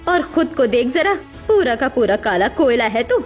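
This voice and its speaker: A woman's voice: fairly high pitch, sing-song, normal speed. A woman voicing a harsh, contemptuous middle-aged mother in a dramatic Hindi cartoon story. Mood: angry, contemptuous. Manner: scolding, contemptuous. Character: harsh, scornful middle-aged mother berating her daughter. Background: music.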